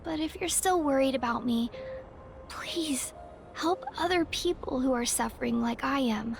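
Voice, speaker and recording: A gentle, selfless teenage girl in an anime-style game. Her voice is extremely high and earnest. Light music plays underneath. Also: unhurried, vocal fry. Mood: tender resolve.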